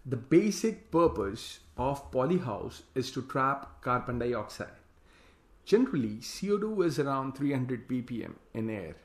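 A man's voice: mid-range voice, sing-song, measured pace, echoey room. A man giving a slide lecture on farming, reading the slide text aloud and then explaining it in plain words. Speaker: hydroponics farm consultant presenting slides.

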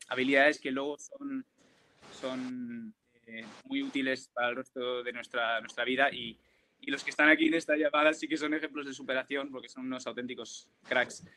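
A young man on a laptop video call from a bedroom at home, his voice of middle pitch. He talks haltingly, with many restarts and fillers. A faint hiss of noise sits under the voice. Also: pushed and bright. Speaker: guest tennis player. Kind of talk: video call panel talk.

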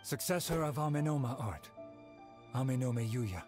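Game casting: stern, honorable samurai man male actor, mid-pitched, formal and earnest delivery, music underneath. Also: lively intonation, measured pace, natural voice. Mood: stern, dignified. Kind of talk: dramatic video game acting.

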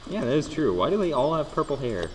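A young man jokes his way through a game, swearing freely and tripping over restarts. His pitch swings widely as he reacts. The recording carries a long, roomy reverb. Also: big pitch swings, quick pace, a little hiss or hum. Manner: joking, swearing, stammering restarts. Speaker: let's play host. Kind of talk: casual gameplay commentary. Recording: echoey room with long reverb.

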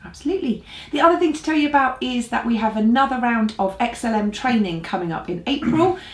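English female, forties, slightly high pitch, friendly pastor presenting announcements, echoey living room. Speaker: senior pastor presenting a church newsletter. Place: small living room, on a sofa.

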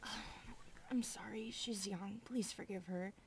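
A woman playing a polite young centipede maid, a protective elder sister, hesitant and apologetic, soft, breathy and often whispering in an ASMR roleplay. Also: medium pitch, fairly even intonation, a little slow, slight background noise, natural voice. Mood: flustered, apologetic. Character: shy, proper twenty-one-year-old centipede maid, protective elder sister.

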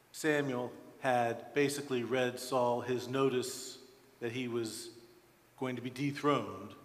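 A man in his sixties preaching a sermon as a pastor, with deliberate, emphatic phrasing and frequent pauses, in a church. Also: mid-range voice, fairly bright tone. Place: large church sanctuary, at the pulpit. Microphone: headset microphone. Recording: reverberant church hall.

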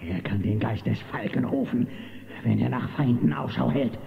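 An actor playing an irritable, boastful outlaw boss with a rasping voice in a German children's audio drama. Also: male voice, mid-range voice, normal speed. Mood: menacing, boastful. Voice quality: gravelly, rasping. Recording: muffled phone-like band, echoey, faint noise.

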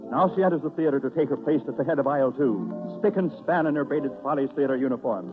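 A man's voice: medium pitch, expressive, fast. A man narrating a 1930s radio drama in a theatrical, suspenseful manner. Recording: tinny 1930s radio recording. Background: music.

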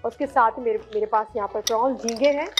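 A woman demonstrating a recipe on a TV cooking show, clear and instructive. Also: a little high, fast talker, music in the background. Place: TV studio kitchen set.

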